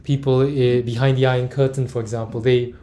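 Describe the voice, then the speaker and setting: A man's voice: medium pitch, little pitch movement, a little fast, dark tone. A man from Singapore interviewing a guest, thinking aloud as he frames long questions. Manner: rambling, thinks aloud while asking.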